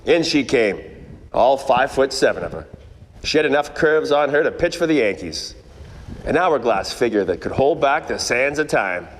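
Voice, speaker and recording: An actor playing a wry, world-weary 1940s private detective in a parody of old radio noir. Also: male voice, mid-range voice, animated, moderate pace, natural voice. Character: wisecracking hard-boiled 1940s male private detective. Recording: echoey stage or hall reverb.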